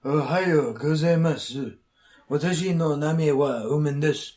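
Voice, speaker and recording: A man's voice: on the high side, moderate pace, a little mellow, natural voice. A man playing an omnipotent, quirky supercomputer in a comic radio drama, speaking in pompous, convoluted and oddly formal sentences. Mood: quirky, grandiose.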